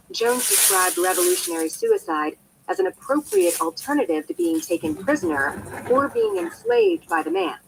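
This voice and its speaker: A woman reading a scripted nonfiction audiobook in an expressive, emphatic storytelling manner. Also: on the low side, creaky. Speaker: audiobook narrator. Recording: poor amateur copy, faint background noise.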